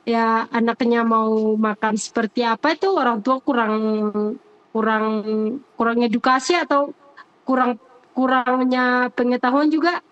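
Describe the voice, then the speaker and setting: Woman, fairly high voice, teacher in interview, earnest, halting, repeats herself. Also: fairly even intonation, fairly bright tone, muffled recording. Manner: earnest, hesitant, repeats phrases. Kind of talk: unscripted interview answers.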